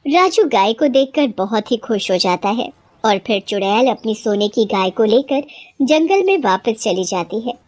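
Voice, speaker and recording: A woman's voice: fairly high pitch, sing-song, moderate pace, darkish tone, no echo. A woman storyteller reading a scripted children's story as narrator.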